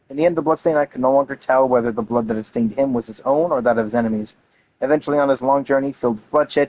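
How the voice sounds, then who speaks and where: A man's voice: mid-range voice, darkish tone, rough recording. Enthusiastic man reading game lore. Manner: enthusiastic, swears casually. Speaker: gaming content creator. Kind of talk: casual game commentary, reading lore aloud.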